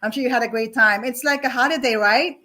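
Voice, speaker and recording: A woman in her fifties hosting an online interview show, speaking in a deliberate, welcoming way with many fillers. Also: fairly high pitch, pushed and bright. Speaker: online talk show host.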